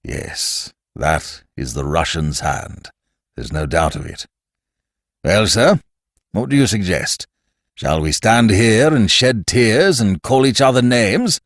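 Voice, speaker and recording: A plump, jovial older schemer , as a male reader puts him on, purring his words in a low, throaty drawl. The delivery stays deep and lilting, close and dry. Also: big pitch swings, takes it slowly, no echo, exaggerated voice. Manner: throaty complacent purr.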